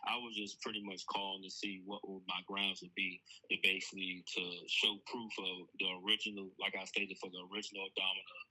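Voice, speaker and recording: A man's voice: low voice, flat delivery, brisk, hardly any pauses, sharp tone, low-quality recording. A man speaking informally and insistently as a caller in a casual recorded phone conversation, often repeating himself. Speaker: caller reporting a case to police.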